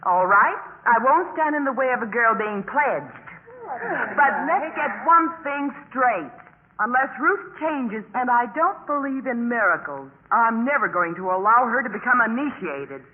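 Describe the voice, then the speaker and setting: Phone-thin old radio play: haughty young sorority snob, high lively voice, crisp dismissals. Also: female voice, unhurried. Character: snobbish, blunt, haughty young college sorority woman. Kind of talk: 1950s radio drama acting. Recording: tinny, muffled 1950s radio recording.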